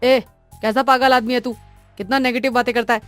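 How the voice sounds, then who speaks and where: A woman voicing a bold, irritable male traveller with a brisk, challenging manner in a cartoon story. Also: a little high, fairly even intonation, a bit bright, no echo, soft background music, pitched above the performer's own voice, close to an everyday voice. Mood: annoyed, dismissive.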